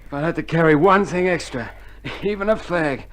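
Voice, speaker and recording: An actor playing a cheeky, complaining Australian army private in a radio drama, talking in slangy colloquial style. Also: male voice, a little high, expressive, brisk, a bit bright. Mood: winded, relieved. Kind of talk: 1960s radio drama acting. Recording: noisy old vinyl radio recording.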